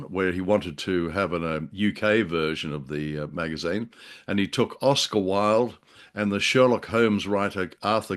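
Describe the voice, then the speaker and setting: Man, lowish voice, podcast co-host, hesitant and wordy, conversational discussion. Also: fairly bright tone. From Australia. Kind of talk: conversational discussion of classic radio drama.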